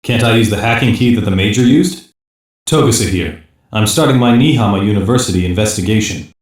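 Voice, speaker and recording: A male actor plays an earnest detective in an elite anime police squad. He gives reports in a low, matter-of-fact voice, sticking to procedure. The audio is very echoey and distant. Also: brisk, lightly acted. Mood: focused, reporting. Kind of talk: English-dub anime voice lines.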